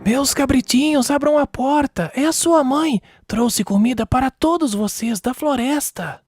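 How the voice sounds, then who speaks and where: A storybook wolf pretending to be a mother goat , put on by a woman narrator. The voice is high, falsely sweet and coaxing, with music behind it. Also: measured pace, darkish tone, breathy edge, no room sound.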